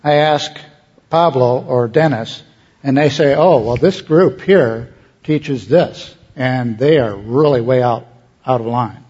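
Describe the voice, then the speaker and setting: Man, panel host, informal moderating, middle pitch, dull muffled recording. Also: slow pace, a little mellow, husky.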